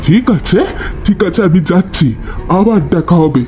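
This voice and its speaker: Man voicing a haughty, high-pitched scolding housemaid. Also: unhurried, music in the background. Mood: haughty, threatening. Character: spiteful, bossy village housemaid woman, scheming and threatening. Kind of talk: cartoon dialogue.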